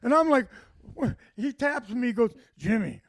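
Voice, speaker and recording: A man's voice: very high-pitched, normal speed, gravelly, dry recording. A man telling comedy stories in casual chat, acting out what people said. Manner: animated, acts out quotes. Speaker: comedian guest. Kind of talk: casual storytelling.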